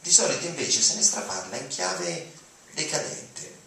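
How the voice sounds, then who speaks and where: A man's voice: medium pitch, very animated, normal speed, pushed and bright. A young man giving a dramatic reading of written text at an auditorium podium. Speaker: reader. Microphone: podium mic, recorded from audience. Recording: very echoey hall, distant, noisy.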